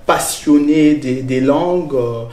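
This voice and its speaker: A man from the Congo talks through his answers with measured, hesitant phrasing. His voice is mellow and warm. The room around him has a noticeable echo. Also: fairly high pitch. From Democratic Republic of the Congo. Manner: hesitant, many filler sounds, measured. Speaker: guest, researcher and lecturer. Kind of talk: interview answers. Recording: roomy echo.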